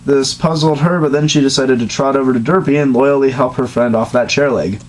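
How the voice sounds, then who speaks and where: A man giving a comedic dramatic reading of a written story, mostly deadpan and now and then cracking into high laughter. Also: brisk, low-quality recording. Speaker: dramatic reader.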